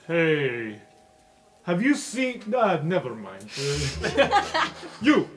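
A man voicing a gruff, lovestruck elephant warrior student in a tabletop game, stammering and blurting out words in clipped grammar. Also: sits high, huge pitch swings, a bit bright, soft background music, voice pushed up, heavily acted. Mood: flustered. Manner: halting, stammering, blurts names out.

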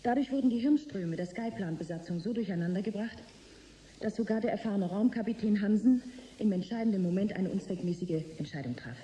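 A woman acting a determined female scientist in a sci-fi radio play. Her voice is very dark and mellow at an ordinary pitch. She speaks coolly and pointedly, with faint noise behind her. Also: fairly quick, close to an everyday voice. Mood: accusing. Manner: cool, pointed, articulate. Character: blunt, determined female scientist confronting corporate bosses. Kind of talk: German science fiction radio drama.

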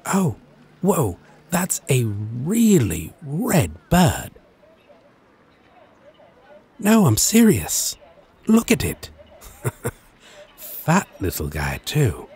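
A man playing a lovesick, teasing demon in an intimate ASMR audio roleplay, halting and self-deprecating, with long pauses. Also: medium pitch, huge pitch swings, darkish tone, husky, heavily acted. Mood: playful deflecting.

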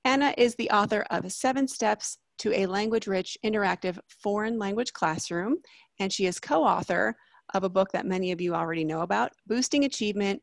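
A woman's voice: mid-range voice, gravelly, dry recording. A woman hosting an online webinar, introducing a guest speaker over a video call. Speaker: webinar host. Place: indoor room on a video call.

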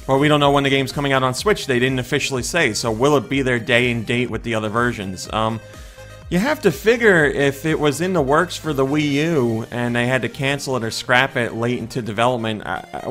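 A man in his thirties, his voice a little hoarse, hosting a casual daily news show from a small home room on a studio mic, chatting and thinking aloud with fillers and restarts. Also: medium pitch, a bit bright. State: slightly hoarse voice. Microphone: large studio condenser mic in front of face.

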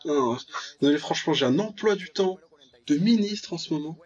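A man's voice: a little high, expressive, a little fast, slightly rough. A man giving casual, joking gameplay commentary as the host of a gaming video. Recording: dull, lo-fi mic, music behind. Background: game music.

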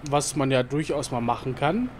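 A man's voice: medium pitch, animated, normal speed, slight background noise. A man giving casual let's-play commentary, rambling with many fillers and muttering to himself. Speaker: gaming YouTuber.

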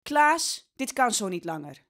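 A woman's voice: fairly high pitch, sing-song, measured pace, fairly bright tone. A woman playing a desperate, hungry farmer's wife and mother in an audio drama. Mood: desperate, pleading.

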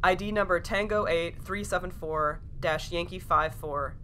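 A woman's voice: lowish voice, moderate pace, a bit bright, slight background noise, close to an everyday voice. A woman acting a terse, burdened emergency space pilot in a sci-fi audio drama, speaking firmly and curtly. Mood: grave, businesslike. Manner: firm, clipped, curt.

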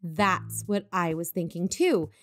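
A woman playing a careful, analytical investigative podcaster in an audio drama, reasoning in a measured way. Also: on the low side, no echo, studio quality. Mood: measured, wary. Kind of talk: audio drama dialogue and narration.

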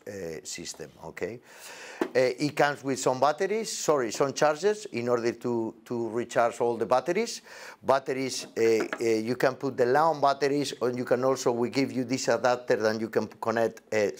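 A man in his forties with halting, non-native English gives an unscripted product demonstration as a presenter, recorded indoors on a lapel mic. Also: medium pitch, a little mellow. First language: not English. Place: indoor demo set with backdrop.

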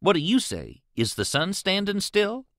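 Man doing a know-it-all kid from a storybook, argumentative, emphatic, sharp bright voice, dry recording. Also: high-pitched, huge pitch swings, moderate pace, no echo, voice pushed up, heavily acted. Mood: challenging. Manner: emphatic, lecturing. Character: cocky, know-it-all adolescent boy, scornful and argumentative. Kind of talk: audiobook character voice.

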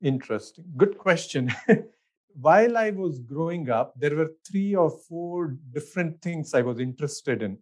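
Middle-aged indian guy chatting easy as a podcast guest, voice a bit high. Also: animated, unhurried. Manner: easygoing, thinks aloud with fillers and restarts, laughs often. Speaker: architect, guest. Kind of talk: casual interview answers.

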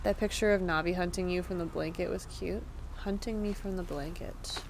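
A young woman streaming a game and chatting with her viewers, with a soft, slightly raspy voice. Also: fairly low pitch, steady tone, moderate pace, slight background noise. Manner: chatty, answers chat questions aloud. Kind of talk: casual live-stream chat while gaming. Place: indoor room. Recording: game music underneath.

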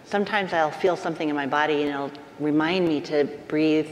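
A woman's voice: deep voice, brisk. A woman speaking informally and reflectively as a panelist. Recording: echoey hall, faint noise.

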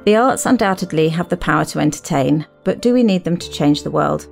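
Low, creaky-voiced woman podcast host. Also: animated, a little fast, faint music behind. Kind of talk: scripted podcast narration and interview questions.

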